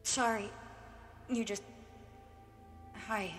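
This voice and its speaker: A woman's voice: medium pitch, fairly even intonation, drawn-out words, a bit bright, faint music behind. An actress playing a guarded, evasive woman with a painful past in a stage drama reading. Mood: subdued.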